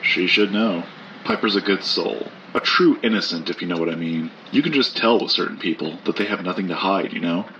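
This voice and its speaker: A man playing a somber, honest author haunted by loss in an audio drama, speaking in a measured, understated way. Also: sits low, a bit bright, dull audio, a little hiss or hum. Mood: gentle, easy.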